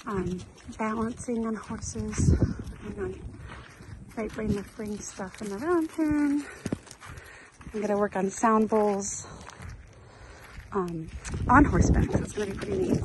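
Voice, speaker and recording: A woman's voice: medium pitch, background noise. A woman filming her farm animals outdoors on her phone, chatting casually and playfully talking to the animals. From Arizona, US. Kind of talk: casual phone vlog narration.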